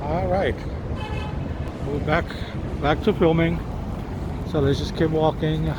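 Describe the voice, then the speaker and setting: A man's voice: fairly high pitch, steady tone, slow. A man filming himself walking outdoors along a riverside, pointing things out with eager, repetitive commentary. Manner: enthusiastic, repeats words, restarts phrases. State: slightly hoarse, coughing. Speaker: vlogger filming a city walk. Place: outdoors on a city riverside walkway. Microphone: handheld camera or phone while walking. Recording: outdoor city noise behind voice. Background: street noise, sirens, boats.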